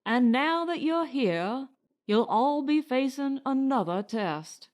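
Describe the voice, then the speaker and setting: A woman's voice: high-pitched, expressive, takes it slowly, a little mellow. A woman voicing a weathered middle-aged male colony director in a storybook, frank, formal and matter-of-fact.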